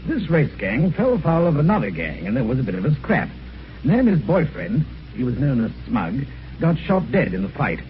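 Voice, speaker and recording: An actor playing a jovial, teasing British police inspector in a radio mystery, breezy and chatty. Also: male voice, medium pitch, huge pitch swings, moderate pace. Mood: breezy explaining. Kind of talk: 1950s radio mystery acting. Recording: dull, noisy old radio recording.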